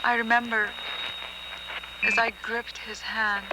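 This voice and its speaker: A woman's voice: on the high side, level tone, slow, heavy background noise. A woman acting a wistful character in an intimate, reflective film monologue. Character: wistful woman recalling a lost marriage.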